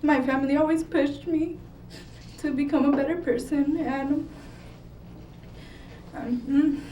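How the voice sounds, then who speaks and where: A nervous young woman from Mexico, a native Spanish speaker, speaking haltingly with many ums as an award-winning student. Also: sits high, steady tone, warm tone, highs cut off. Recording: echoey hall from far off, faint noise.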